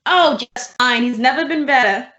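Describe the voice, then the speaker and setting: A woman acts out a quick-witted female schoolteacher in an old-time radio comedy. Her delivery is dry and deadpan, her voice a little high. It sounds echoey and slightly dull. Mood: polite. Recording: roomy, dull lo-fi recording.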